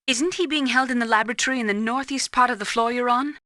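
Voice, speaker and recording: A woman playing a composed, secretive scientist in a video game, explaining things in a cool, precise, measured way. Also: fairly high pitch, bright, forceful tone, no echo. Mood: clinical, informative.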